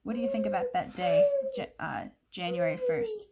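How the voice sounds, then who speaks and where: A woman teacher reads a picture book aloud to children, expressive and explanatory. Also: very high voice, gravelly, very noisy. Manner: expressive, explanatory, pauses to question listeners.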